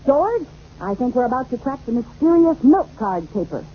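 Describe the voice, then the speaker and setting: Playful vain american sitcom wife, super swoopy theatrical voice, old radio comedy. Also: female voice, high voice, sing-song, moderate pace. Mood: playful sleuthing. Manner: theatrical, wisecracking. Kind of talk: 1940s-50s radio comedy acting. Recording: muffled, noisy old radio recording.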